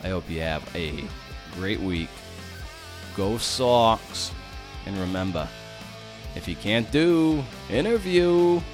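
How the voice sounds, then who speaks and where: Man, podcast host, very low voice, tired after a night shift, jokey, faint guitar behind. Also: lively intonation, slow, pauses often. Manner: loose, jokey, restarts often. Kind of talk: casual podcast interview and outro.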